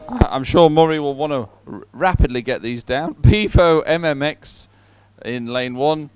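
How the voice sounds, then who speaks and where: A man's voice: a little high, normal speed, darkish tone, clean tone, no echo. A man hosting live sports commentary in a chatty, jovial way, as if talking with a colleague. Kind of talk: live sports commentary, relaxed co-host chat.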